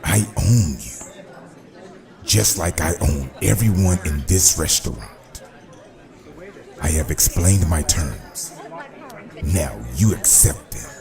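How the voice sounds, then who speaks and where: A man acting a suave, menacing crime boss in a motion comic, with a gravelly voice and formal, coldly polite delivery. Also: extremely low pitch, animated, slow, long pauses, music in the background, crowd noise, exaggerated voice. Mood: cold, controlled menace. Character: suave, menacing crime boss consolidating his underworld empire.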